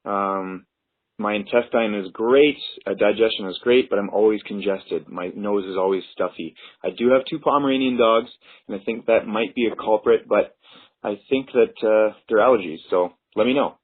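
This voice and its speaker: A man with a low voice asks his question haltingly, pausing and filling gaps with ums. His voice comes through thin and tinny, like a phone line. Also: husky. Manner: hesitant, with many pauses and ums. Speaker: caller with a question.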